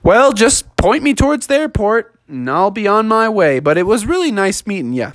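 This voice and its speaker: A man voicing an excitable, easygoing young male bear who talks in surfer slang and exclaims a lot. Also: medium pitch, moderate pace, no echo, voice pushed up, natural voice. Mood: excited.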